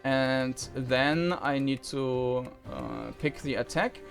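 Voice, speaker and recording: Slow-talking man over game music. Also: medium pitch, expressive, gravelly. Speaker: game reviewer commentator. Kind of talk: unscripted preview commentary over gameplay.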